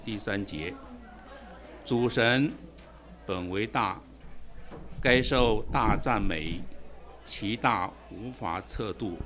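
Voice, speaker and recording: A man reading a psalm aloud in church, slow and deliberate, verse by verse. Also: fairly low pitch, fairly bright tone, slight background noise. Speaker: scripture reader.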